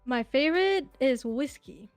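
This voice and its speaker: Giggly young woman with a fairly high voice. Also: lively intonation, unhurried, no room sound, faint music behind. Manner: giggly, rambling storytelling with many fillers. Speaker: podcast guest. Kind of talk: casual interview chat.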